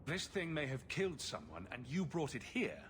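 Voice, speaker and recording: A skeptical man, an adventurer in a fantasy video game. He is sardonic and blunt, with animated intonation over light background noise. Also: medium pitch, normal speed. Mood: probing, skeptical. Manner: wry, sardonic. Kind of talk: video game dialogue acting.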